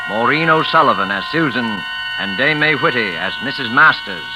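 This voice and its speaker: Loud music surrounds a man's medium-pitched voice on a vintage radio broadcast. He delivers a scripted introduction as a 1940s announcer, formal, measured and dramatic. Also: animated, poor audio.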